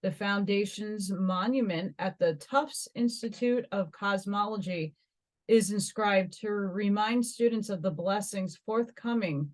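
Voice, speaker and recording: A woman's voice: mid-range voice, measured pace, a little mellow, rough recording. An American woman from New York hosting a vlog, reading articles aloud and breaking off into musing, dramatic asides. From New York, United States. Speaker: host of a metaphysical vlog. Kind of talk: reading articles aloud with rambling personal commentary.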